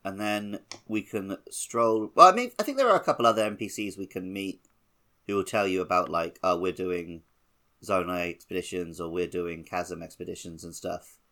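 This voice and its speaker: A man with a low voice co-hosts a gaming podcast. He chats casually and informally as he talks through a playthrough. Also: normal speed, bright, forceful tone. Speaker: podcast co-host. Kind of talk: casual video game playthrough discussion.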